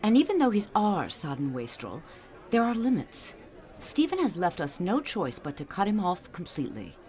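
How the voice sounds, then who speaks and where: A woman's voice: lowish voice, sing-song, moderate pace, darkish tone. An actress playing a stern, haughty, disapproving wealthy mother of a grown son in a radio drama, with clipped, scolding delivery. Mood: disappointed, resolute.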